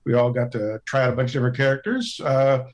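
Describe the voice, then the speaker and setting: Mid-pitched man chatting in halting phrases. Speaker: podcast cast member. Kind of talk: casual podcast chat.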